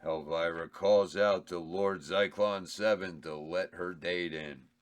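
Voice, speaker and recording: Dude with a real deep voice reading stage directions, matter of fact. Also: male voice, steady tone, slow pace. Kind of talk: reading script directions aloud.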